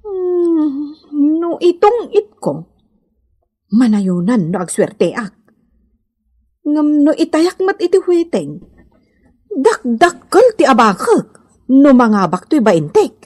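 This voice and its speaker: A woman playing a fretful, scheming housewife in a radio comedy drama, with an Ilocano accent and an exclaiming, expressive delivery. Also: high voice, slow pace, pauses often, heavily acted. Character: fretful, poor, scheming Filipino housewife and mother who gambles.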